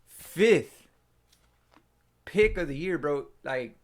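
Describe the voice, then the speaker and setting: A young man hosting a casual sports reaction, excitable and slangy, with a hoarse, nearly lost voice from screaming. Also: a little high, extremely slow. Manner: excitable, slangy, says bro a lot. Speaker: fan channel host. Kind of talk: casual post-game reaction.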